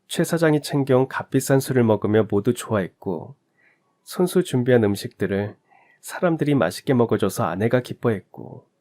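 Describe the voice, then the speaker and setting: Calm male story narrator. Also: lowish voice. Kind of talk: first-person story narration.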